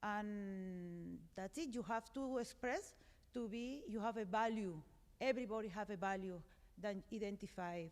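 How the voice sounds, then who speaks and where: A woman from Colombia with a Colombian Spanish accent, speaking English as a second language on a conference panel, earnest and often pausing to find words. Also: medium pitch. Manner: earnest, searching for words, frequent hesitations. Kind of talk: panel discussion. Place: conference hall. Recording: reverberant conference hall.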